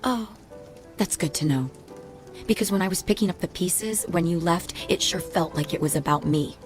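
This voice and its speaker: An actress playing a bitter, guarded ex-wife in a radio drama, speaking in a sardonic, clipped, reproachful way. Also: female voice, on the low side, moderate pace, close to an everyday voice. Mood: bitter, hurt. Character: bitter, guarded, sarcastic ex-wife, no-nonsense woman. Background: faint background music.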